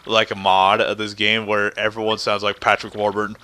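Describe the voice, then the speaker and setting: A man's voice: lowish voice, completely flat delivery, fairly quick, bright, forceful tone, smooth. A man hosting a let's play with casual, rambling, goofy commentary over a video game. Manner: rambling, goofy, breaks into put-on voices.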